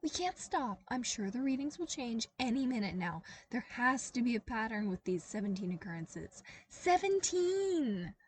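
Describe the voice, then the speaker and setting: A woman voicing a frazzled, sleepless woman scientist who runs the lab, with a shrill and insistent manner. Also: sing-song, moderate pace, hardly any pauses, slight background noise.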